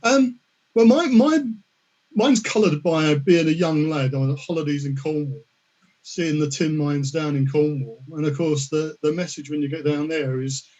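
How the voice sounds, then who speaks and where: Middle-aged guy from the uk on a panel, mellow dark voice, polite and a bit hesitant, lo-fi audio. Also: on the high side, a little slow. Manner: hesitant, polite, many fillers and repeats. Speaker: panelist, risk management specialist. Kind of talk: online panel discussion. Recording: dull lo-fi audio.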